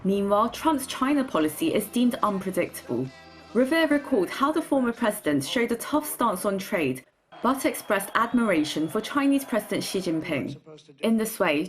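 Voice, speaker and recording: A woman reporter narrating a scripted news report. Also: mid-range voice.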